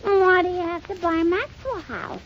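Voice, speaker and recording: A woman's voice: extremely high pitch, normal speed. A woman playing a pestering, inquisitive little girl with a blunt childish manner in 1930s radio comedy. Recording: lo-fi 1930s radio, faint noise.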